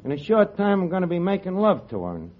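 A brash wisecracking tavern manager, tough-talking. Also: male voice, high-pitched, big pitch swings, quick pace. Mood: indignant. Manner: street-tough slang, cocky, mangled grammar. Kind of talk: 1940s radio sitcom acting. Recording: 1940s radio broadcast, dull audio, studio audience laughter and hiss. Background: studio audience laughter.

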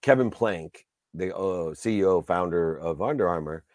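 A man's voice: sits low, lively intonation, normal speed, a little mellow, dry recording, studio quality. A man hosting a casual interview, talking in an enthusiastic, rambling way and heaping praise on his guest. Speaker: podcast host.

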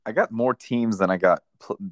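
A man's voice: on the low side, moderate pace, no room sound, clean studio sound. A man co-hosting a sports podcast in a casual, conversational style.

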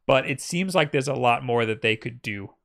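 A man's voice: medium pitch, lively intonation, moderate pace, gravelly. Young man, podcast co-host, casual rambling chat, reads comments aloud.

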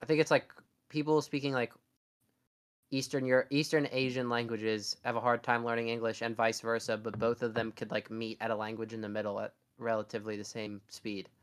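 A man's voice: mid-range voice, flat delivery, a little fast, clear, resonant tone. A young man co-hosting a podcast, chatting casually in a rambling, sarcastic way with frequent restarts. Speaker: podcast co-host. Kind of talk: casual chat.

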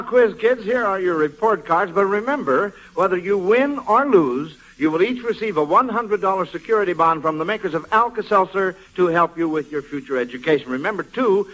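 American male quiz host, 1940s radio style, folksy delivery, voice on the higher side, vintage broadcast sound. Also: bright, forceful tone, poor audio.

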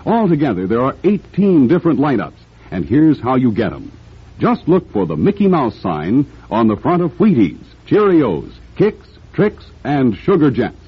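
A man with a husky voice delivering a 1950s radio commercial pitch aimed at children. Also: sing-song, normal speed. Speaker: commercial announcer. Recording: dull old radio recording.

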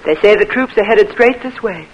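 A woman's voice: medium pitch, expressive, normal speed, highs cut off, close to an everyday voice. An actress playing an emotional young Southern woman, pleading and earnest, in a 1940s radio drama. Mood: anxious. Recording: noisy old radio recording.

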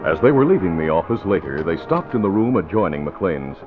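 Animated male old-time radio announcer. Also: low voice, fairly quick. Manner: dramatic announcing. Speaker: announcer and narrator. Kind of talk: 1950s radio scripted narration. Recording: dull old radio recording. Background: music.

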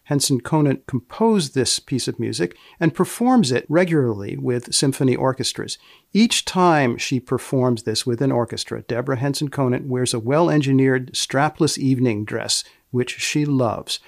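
A man hosting a podcast with deliberate, measured phrasing, moving between scripted narration and interview questions. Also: medium pitch, lively intonation, creaky, no room sound, clean studio sound. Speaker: podcast host.

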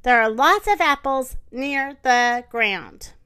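A woman's voice: sits high, slow pace, bright, forceful tone, clean tone, exaggerated voice. A woman voicing a strict, watchful female schoolteacher with a firm, commanding manner in a children's storybook.

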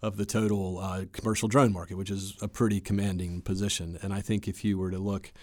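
A man speaking as an expert guest in an interview, explaining in a measured, conversational way. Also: deep voice, little pitch movement, darkish tone, no echo, studio quality.

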